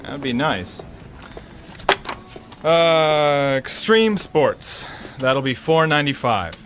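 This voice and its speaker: A man playing a gruff, sarcastic, folksy hardware store clerk in an audio drama. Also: a little high, expressive, slow pace, music in the background. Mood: sardonic irritation. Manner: sardonic, folksy, grudging. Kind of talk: radio drama acting.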